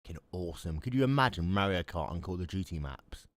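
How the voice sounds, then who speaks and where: Crude joking English man, lively pitch. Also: fast talker, dry recording. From England. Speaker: podcast co-host. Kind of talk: casual chat.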